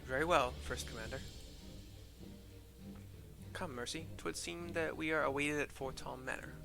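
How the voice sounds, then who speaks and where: Man reading for a young nobleman, fantasy game, earnest, modest, polite, creaky, music behind. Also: on the high side, normal speed.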